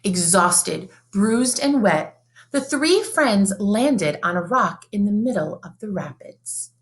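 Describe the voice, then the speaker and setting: Lively woman reading a picture book. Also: mid-range voice, slow. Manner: expressive, sing-song storybook reading. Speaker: teacher reading aloud to children. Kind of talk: children's read-aloud storytelling.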